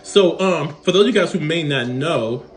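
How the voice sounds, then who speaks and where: A man's voice: fairly high pitch, expressive, moderate pace, faint music behind. A man hosting an interview show, friendly and easygoing, with frequent fillers.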